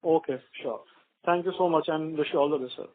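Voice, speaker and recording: A man with a high-pitched voice asks questions as an analyst on an earnings call. His manner is polite and deferential. He comes through a narrow telephone line. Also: a little fast. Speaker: analyst caller. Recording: narrow radio-like phone line.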